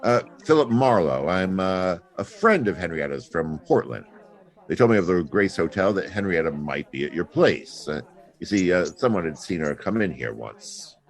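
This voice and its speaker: An actor playing a wisecracking, flirtatious private detective in a hard-boiled audio drama, wry in both narration and dialogue. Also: male voice, low-pitched, very animated, moderate pace. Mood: casual, probing. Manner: wry, drawling asides with flirtatious endearments.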